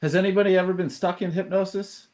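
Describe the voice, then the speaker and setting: Man, fairly high voice, casual, unscripted, test caller. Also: steady tone, fast talker, darkish tone.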